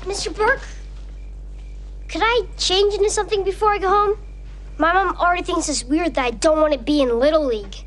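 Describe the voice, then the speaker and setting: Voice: very high voice, a little fast. A young-sounding performer playing a grumbling, mortified kid with sardonic sitcom delivery. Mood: embarrassed. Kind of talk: sitcom acting before an audience. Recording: noisy audience behind sitcom dialogue.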